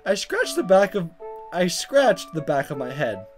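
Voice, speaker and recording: A woman's voice: on the low side, big pitch swings, measured pace, faint music behind. A woman hosting a let's play, reading dialogue aloud dramatically and commenting in a playful, exaggerated way. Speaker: gaming video host.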